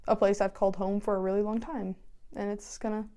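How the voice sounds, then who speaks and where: Clear-voiced teenage female stage manager. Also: medium pitch, flat delivery, a little fast, warm tone. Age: late teens. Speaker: student stage manager being interviewed. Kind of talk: casual interview talk. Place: small indoor office.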